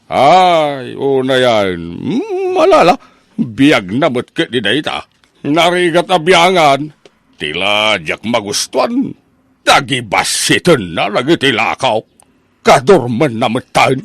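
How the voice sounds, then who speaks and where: A man's voice: mid-range voice, huge pitch swings, slow, vocal fry, a bit bright, no echo, full character voice. A man with an Ilocano accent acting a plain-spoken, grumbling father in a comedic audio drama. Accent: Filipino, Ilocano.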